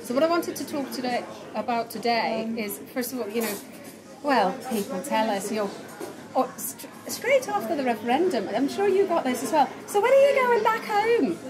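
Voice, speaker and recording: A middle-aged woman hosting a casual podcast chat, chatty and quick to laugh. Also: sits high, very animated. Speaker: podcast host. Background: crowd chatter.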